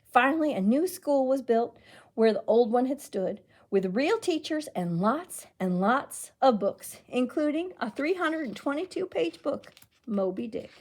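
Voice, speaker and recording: A woman reading a picture book aloud as a storyteller, with expressive, emphatic delivery. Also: sits high, measured pace. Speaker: children's librarian storyteller.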